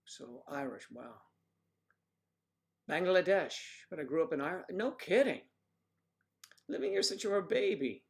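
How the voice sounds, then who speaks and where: A man's voice: on the high side, expressive, normal speed, vocal fry, long pauses. A man hosting a casual livestream, reading viewers' comments aloud and joking with them by name in a rambling, chatty way. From United States. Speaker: livestream host reading chat.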